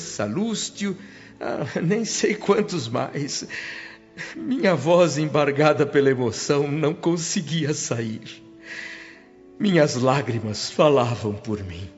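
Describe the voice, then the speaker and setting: A man's voice: fairly high pitch, lively intonation, slow. A man playing a humble, devout spirit who narrates a radio drama with reverent, emotive expression. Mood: moved, awed. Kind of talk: dramatic radio-play first-person narration. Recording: dull lo-fi audio with music behind. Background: clear background music.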